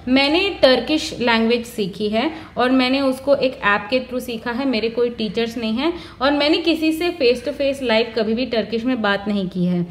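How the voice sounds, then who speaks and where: High-voiced woman giving interview tips. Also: normal speed, few pauses. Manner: earnest, instructive, lists points one by one. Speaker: career advice vlogger. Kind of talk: explanatory advice talk.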